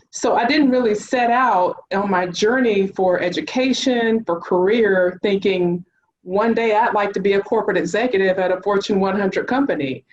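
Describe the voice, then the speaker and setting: A woman from Mississippi, an executive on a panel, speaking reflectively and earnestly. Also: medium pitch. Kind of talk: panel discussion. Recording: slightly dull, lo-fi sound.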